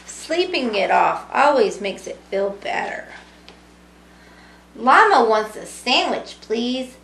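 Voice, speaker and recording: A woman's voice: a little high, big pitch swings, slow, gravelly, fairly bright tone. A middle-aged woman reading a rhyming picture book aloud to young children in a singsong way, with little explanatory asides. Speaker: storybook reader, early childhood education student. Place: small indoor room with brick wall. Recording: roomy, echoing home recording.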